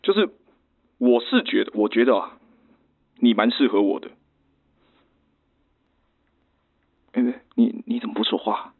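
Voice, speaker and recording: A thin phone-line sound carries an awkward, eager suitor. His mid-pitched voice swings animatedly as this man fumbles and stammers bashfully, in radio drama style. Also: sing-song, normal speed, gravelly, husky, full character voice. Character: awkward, eager, clumsy practical male suitor. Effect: telephone filter.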